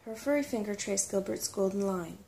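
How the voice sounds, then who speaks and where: Mellow, creaky woman reading calmly. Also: medium pitch. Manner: calm, unhurried. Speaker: storybook narrator. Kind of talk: ASMR audiobook reading, scripted narration.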